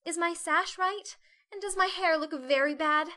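Woman voicing a high, bright, prim teenage elder sister. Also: moderate pace. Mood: prim, instructing. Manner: prissy, ladylike, emphatic. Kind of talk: audiobook character voice.

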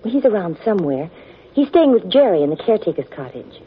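Animated lady of the manor. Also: mid-range voice, fast, slightly rough. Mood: anxious, forthcoming. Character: crisp, guarded lady owner of a country estate. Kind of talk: 1940s radio drama acting. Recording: muffled, hissy 1940s radio recording.

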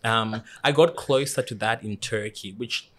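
A young man from Zimbabwe telling travel stories in an interview, laughing often and growing animated. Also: medium pitch, a little slow. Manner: animated storyteller, laughs often, rising into excitement. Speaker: interview guest. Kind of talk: casual reflective interview talk.

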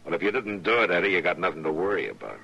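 An actor playing a patient, plainspoken frontier marshal with calm authority in an old radio western. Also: male voice, low-pitched, creaky, a little hiss or hum, heavily acted. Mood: firm, patient. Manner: calm, measured, folksy authority. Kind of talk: 1950s radio western acting. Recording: muffled old radio recording.

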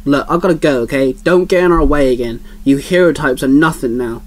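A man's voice: medium pitch, brisk, close to an everyday voice. A man voicing a cocky young man with powers who speaks snappy and dismissive. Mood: scornful.

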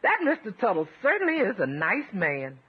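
A woman playing a deferential, admiring household cook with a husky voice in a 1950s radio comedy. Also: mid-range voice, wildly swooping pitch, moderate pace, exaggerated voice. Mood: deferential, pleased. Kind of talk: 1950s radio sitcom acting. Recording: dull vintage 1950s radio recording.

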